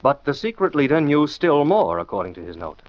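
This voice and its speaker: A man's voice: on the high side, very animated, brisk. A man acting a shrewd, scornful radio detective who lectures emphatically in rhetorical questions, in 1940s style. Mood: probing. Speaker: detective lead and show host. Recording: muffled 1940s radio recording.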